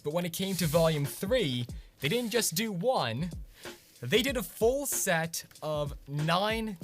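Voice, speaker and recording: A man hosting a scripted explainer vlog in a conversational, punchy way with casual asides. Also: on the high side. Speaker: video host.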